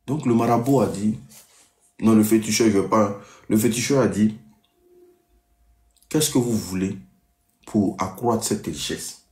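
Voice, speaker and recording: Man, lowish pitch, live-stream storyteller, deliberate, repetitive, addresses listeners, very echoey recording. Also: a little mellow. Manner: deliberate, repeats sentences, checks listeners understand.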